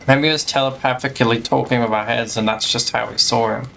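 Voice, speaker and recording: A man's voice: medium pitch, steady tone, fairly quick, creaky, a bit bright, a little hiss or hum. A man streaming a game, giving casual reactions and muttered, joking asides as he plays. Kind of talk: casual reaction commentary over gameplay. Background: game music.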